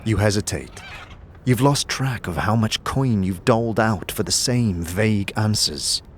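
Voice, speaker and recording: A man's voice: fairly low pitch, unhurried, slight background noise. A man narrating a fantasy audio drama in the second person, with dramatic, descriptive storytelling and music behind him. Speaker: narrator and game master.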